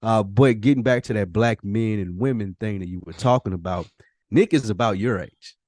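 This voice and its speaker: A man's voice: fairly low pitch, normal speed, darkish tone, no echo, clean studio sound. A man chatting casually as a podcast host, blunt and questioning, with profane slang. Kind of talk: casual conversational podcast chat.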